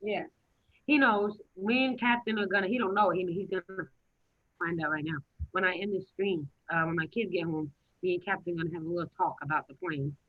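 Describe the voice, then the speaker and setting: A woman of nearly forty in a casual group chat, talking in a chatty, animated way. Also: lowish voice, brisk, phone-quality sound. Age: 30s. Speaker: streamer.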